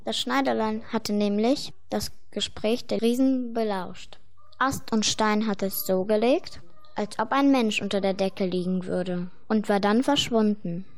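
A woman's voice: mid-range voice, fairly even intonation, unhurried. A woman reading scripted fairy-tale narration for a radio play.

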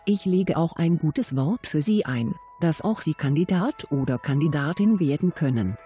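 A woman voicing a calm female agency commander who speaks in a measured, announcing way. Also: low voice, faint music behind. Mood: earnest.

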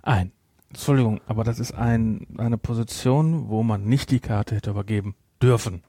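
A man's voice: lowish voice, moderate pace, warm tone, slightly rough. A man co-hosting a podcast in casual chat, emphatic and opinionated, repeating himself to press his point. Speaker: podcast co-host. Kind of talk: casual chat reviewing audio drama.

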